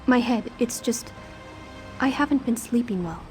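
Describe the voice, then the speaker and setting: Fantasy game noble daughter, voiced by a woman: exhausted, courteous, rambling, moderately high pitch. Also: lively intonation, measured pace, a little mellow, soft background music. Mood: weary, apologetic. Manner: apologetic, rambling. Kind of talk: scripted fantasy game dialogue.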